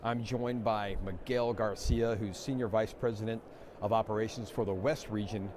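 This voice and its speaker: A man's voice: lowish voice, fairly even intonation, moderate pace, background noise. A man hosting an interview on a conference stage, asking questions in an upbeat, friendly way. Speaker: magazine interviewer and host.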